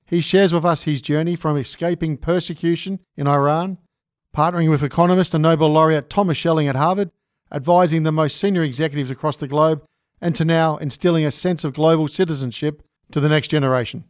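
A man hosting a podcast, reading a scripted introduction and asking interview questions in a measured way. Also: on the high side, fairly even intonation, clean tone, dry recording, studio quality. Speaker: podcast host.

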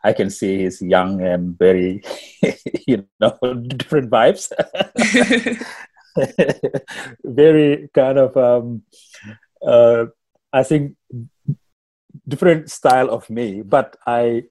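A man from Senegal with a Senegalese accent, whose first language is Wolof, telling stories as a musician guest in a casual interview, halting and repeating words. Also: mid-range voice, mellow.

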